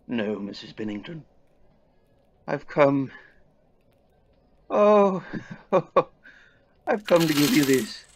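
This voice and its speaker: A man playing an elderly reformed miser, halting, earnest and formally courteous, in a Victorian audio play. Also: fairly high pitch, sing-song, husky, exaggerated voice. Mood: tender. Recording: dull lo-fi recording.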